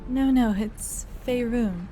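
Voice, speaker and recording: A woman voicing her own player character, a polite young elf bard, softly and plainly. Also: on the high side, slow pace. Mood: mild. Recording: home-recorded voice over game music.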